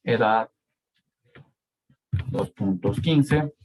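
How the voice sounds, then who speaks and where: Male Ecuadorian lecturer, unhurried delivery, keeps checking in with the class, faint hiss behind. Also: on the low side. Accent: Ecuadorian Spanish. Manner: slow, checks in with frequent "¿sí?" and "¿de acuerdo?". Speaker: university lecturer. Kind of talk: live online coding lesson.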